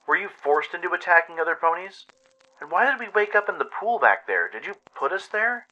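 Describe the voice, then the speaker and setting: Man as an earnest pony hero, raised pitch. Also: sing-song, a little fast, bright, forceful tone, dull audio, exaggerated voice. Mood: gentle questioning. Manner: earnest, anxious.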